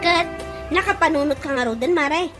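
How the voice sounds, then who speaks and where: A woman's voice: high voice, moderate pace, music in the background, close to an everyday voice. A woman with an Ilocano accent playing a chatty, brash friend who works in the city, in a radio comedy drama. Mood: frank, teasing. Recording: clearly noisy radio drama recording.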